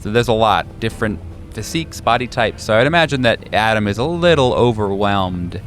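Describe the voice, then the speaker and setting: Low-voiced man narrating a tabletop game. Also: expressive, a little fast, fairly bright tone, a little hiss or hum. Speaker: game master.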